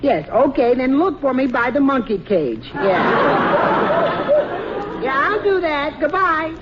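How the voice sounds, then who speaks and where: Flustered family man, swinging pitch. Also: wildly swooping pitch, takes it slowly, a loud crowd, exaggerated voice. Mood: sheepish. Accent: American. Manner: flustered, stammering, sheepish. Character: hapless, henpecked, good-natured family man and office worker. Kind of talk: old-time radio sitcom acting. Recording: muffled, noisy vintage radio recording.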